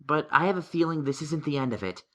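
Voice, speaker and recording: A man's voice: fairly high pitch, expressive, fast, voice pushed up, lightly acted. A man voicing a studious, earnest young male unicorn pony in a fan-fiction audio story, speaking in an emphatic, analytical way. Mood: wary, thoughtful.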